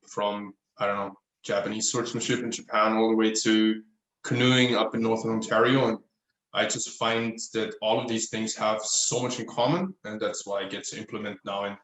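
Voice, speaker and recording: A man's voice: on the low side, a bit bright, reverberant, rough recording. A man in his thirties from Austria with an Austrian German accent, German his first language, chatting as an interview guest and searching thoughtfully for English words. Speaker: guest craftsman. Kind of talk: casual interview chat. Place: his apartment at home.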